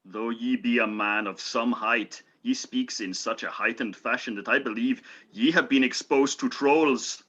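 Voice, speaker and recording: A man's voice: medium pitch, a little slow, hardly any pauses, a bit bright, slightly rough, highs cut off. A man playing an earnest, brave medieval Norseman in an amateur radio play, speaking in mock-archaic, declamatory phrases. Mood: courteous.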